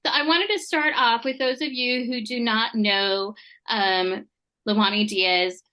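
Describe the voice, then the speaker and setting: A woman's voice: on the high side, steady tone, takes it slowly, pushed and bright. A woman hosting a podcast interview, encouraging and affirming toward her guest. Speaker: podcast host.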